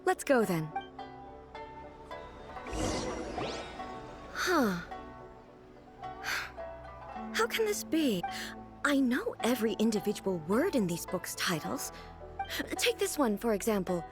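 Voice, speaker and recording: Regal, high-voiced female ruler. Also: sing-song, over music. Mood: intrigued. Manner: formal, measured, dignified. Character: regal, composed female ruler, earnest and curious. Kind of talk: video game voice acting.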